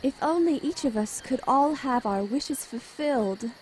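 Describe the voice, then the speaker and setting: A woman's voice: high voice, lively intonation, measured pace, a little mellow, a little hiss or hum, poor audio. A woman playing an earnest, lovelorn witch in an amateur audio drama. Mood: wistful.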